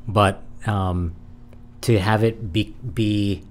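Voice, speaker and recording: A man in his forties from Hawaii, a podcast guest in a studio, speaking in a thoughtful, measured way at a mic. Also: fairly low pitch, steady tone. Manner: thoughtful, measured, gently laughing. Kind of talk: casual interview chat. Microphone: studio mic with headphones.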